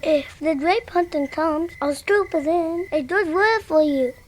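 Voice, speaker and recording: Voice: very high voice, a little slow, a little hiss or hum, pitched above the performer's own voice. A storybook narrator voicing an earnest, stubbornly hopeful little boy who insists with emphasis. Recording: music behind the voice.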